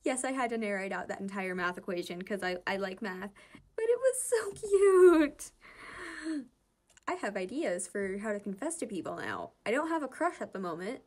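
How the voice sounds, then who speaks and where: A woman's voice: medium pitch, big pitch swings, moderate pace. A young woman casually narrating and commenting on fan comics in a gushing, giggly, informal way.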